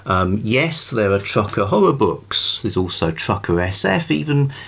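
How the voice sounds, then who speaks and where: A British man rambling informally about books to camera in a halting, thoughtful, wryly amused way. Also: on the low side, big pitch swings, vocal fry, fairly bright tone, slightly rough. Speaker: book review vlogger.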